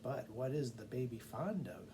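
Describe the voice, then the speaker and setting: Man as a sly, mellow storybook cat. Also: medium pitch, expressive, normal speed, a little hiss or hum, close to an everyday voice. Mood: sly, musing. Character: sly, patient wild male cat, self-assured bargainer.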